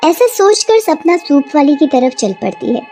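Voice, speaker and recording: A woman storyteller reading scripted narration for an animated horror tale. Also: high-pitched, moderate pace, faint music behind.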